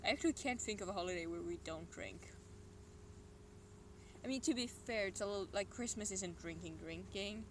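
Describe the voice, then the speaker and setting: Casting: young adult with a Swedish accent, mid-pitched voice, meandering solo stream chatter with whispered asides. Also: normal speed, fairly bright tone. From Sweden. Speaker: livestreamer. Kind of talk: casual solo stream chat. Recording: noisy room ambience.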